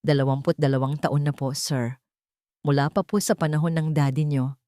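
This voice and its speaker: A woman's voice: sits low, fairly even intonation, moderate pace, dark tone, dry recording, clean studio sound, close to an everyday voice. A woman voicing a nervous, deferential elderly male maintenance worker who stammers and pleads in an audio drama. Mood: nervous, respectful.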